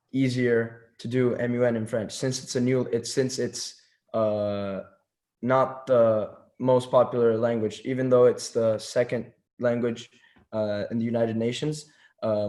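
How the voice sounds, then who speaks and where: A man's voice: medium pitch, mellow. Hesitant teenage boy presenting a workshop. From Turkey. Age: teens. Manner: hesitant, many fillers and restarts.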